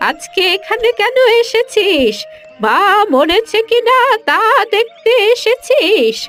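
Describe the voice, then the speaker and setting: A woman's voice: very high voice, big pitch swings, slow, fairly bright tone, faint music behind, heavily acted. A woman voicing a bitter, scornful elderly village mother in a moral cartoon, scolding emphatically. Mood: suspicious, bitter. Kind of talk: Bengali moral cartoon dialogue.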